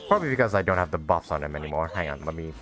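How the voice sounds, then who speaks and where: A man's voice: very deep voice, animated, rapid-fire. A man doing casual let's-play commentary, easygoing and thinking aloud as he plays. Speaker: gaming streamer. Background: soft game music.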